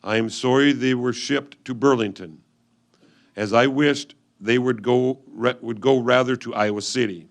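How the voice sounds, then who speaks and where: A man reading aloud, in reader's theatre style, the part of an earnest 1850s frontier husband writing letters home in a measured, formal manner. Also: medium pitch, close to an everyday voice. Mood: earnest, businesslike.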